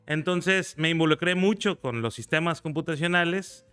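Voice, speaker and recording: Guy, early 40s, smooth clear voice, faint music behind. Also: fairly high pitch, normal speed, fairly bright tone. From Mexico. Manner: earnest, recounts with pride. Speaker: guest, federal deputy. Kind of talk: conversational interview.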